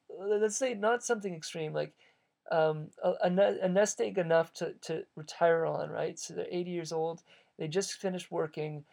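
A man talks alone through an episode of his own show, musing and philosophizing as he goes. His voice has a gravelly, fry-laden texture, and he often circles back to restart his sentences. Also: a little high, darkish tone. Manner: reflective, philosophizing. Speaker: podcast host. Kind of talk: solo monologue, thinking aloud.

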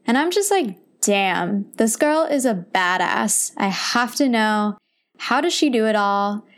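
A woman's voice: a little high, animated, a little slow. A woman hosting an interview show in a casual, enthusiastic, conversational way. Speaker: podcast host and interviewer.

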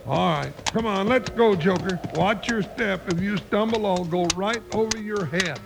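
An actor playing a big, timid, good-natured comic deputy in a radio western, folksy and hesitant, with an American Western drawl. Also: male voice, normal speed, noisy background. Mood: nervous. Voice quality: high, creaky voice. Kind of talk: 1950s radio western acting.

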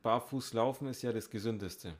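A man's voice: mid-range voice, moderate pace. Dry man co-hosting a podcast. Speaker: podcast co-host. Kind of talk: casual banter and chat.

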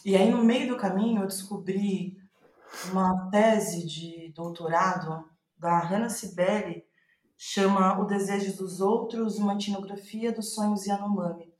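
A woman with a Brazilian Portuguese accent in a reflective interview, thinking aloud haltingly and trailing off. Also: mid-range voice, steady tone, a little mellow, reverberant. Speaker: playwright, podcast guest.

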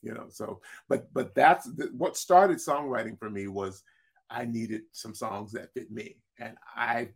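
A deep-voiced man with a gravelly, husky edge tells stories from his career as a singer-songwriter. He talks loosely and conversationally, doubling back on his words and chuckling between stories. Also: unhurried. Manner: repeats words and restarts often, laughs between stories. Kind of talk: casual interview storytelling.

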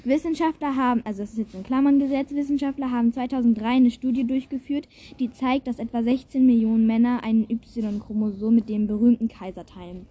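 A young woman with a fairly high voice that is quite husky and unclear. She talks in a casual, playful way, like a podcast co-host chatting with a friend. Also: fairly even intonation, fairly quick, hardly any pauses, dark tone. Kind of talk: casual chat.

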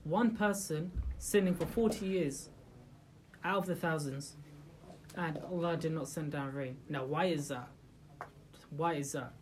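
A speaker leads an informal religious talk for a small group gathered in a room. The voice sits at a middle pitch, with rhetorical questions to the listeners and frequent hesitant restarts. Faint background noise runs under the recording. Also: unhurried.

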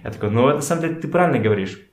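A man's voice: medium pitch, expressive, rapid-fire. A man in his twenties chatting casually and informally, using slang, as a guest on a podcast. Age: 20s. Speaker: podcast guest, photographer and art director. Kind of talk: casual conversation. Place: room in a home. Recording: roomy home recording.

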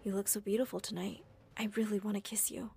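A woman voicing a caring young man who speaks softly and reassures. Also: on the high side, expressive, a little fast, slight background noise. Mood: soft coaxing. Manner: gentle and reassuring, firm when angry. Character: caring, protective young boyfriend.

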